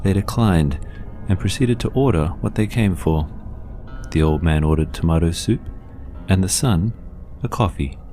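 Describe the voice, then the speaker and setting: A man with a very low voice tells a horror tale as a scripted narration. His delivery is measured and deliberate, with faint music behind him. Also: mellow.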